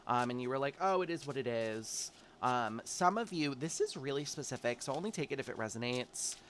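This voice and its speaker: A man's voice: medium pitch, expressive, brisk, a little hiss or hum. A man giving a chatty tarot forecast, stuffy and congested from allergies, talking straight to his viewers. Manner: chatty, warm-hearted, talks straight to viewers. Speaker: tarot reader. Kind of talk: casual monthly tarot forecast.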